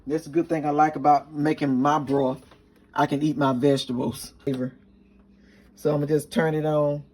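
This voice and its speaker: A woman with a raspy voice, chatting casually to her viewers in a home kitchen cooking vlog. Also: deep voice, flat delivery, normal speed, a little mellow. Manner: chatty, talks straight to viewers. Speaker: cooking vlogger.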